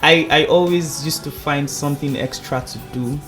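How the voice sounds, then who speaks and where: A man, a singing contest finalist, telling stories in a playful, animated way on the radio, laughing often. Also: on the high side, moderate pace, soft background music. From Nigeria. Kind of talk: casual radio interview.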